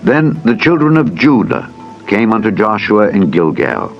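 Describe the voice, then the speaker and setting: A man reading scripture as a narrator in a solemn, measured, formal manner, as in a dramatized audio Bible. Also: on the low side, very animated, gravelly. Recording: dull lo-fi audio with music behind. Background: background music.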